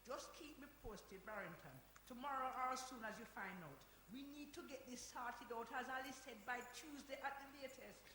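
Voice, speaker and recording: A woman's voice: sits high, normal speed, hardly any pauses, bright, forceful tone. A woman acting in a radio play as a bossy, upbeat community centre organiser. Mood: brisk, organising. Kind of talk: radio drama performed before an audience. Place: hall, recorded live. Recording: echoey hall with background noise.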